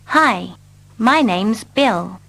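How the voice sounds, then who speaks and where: Woman, language lesson reader, slow pace, slightly high voice. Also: lively intonation, vocal fry, fairly bright tone. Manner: carefully repeats each line. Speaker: English teacher. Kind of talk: slow scripted reading for learners.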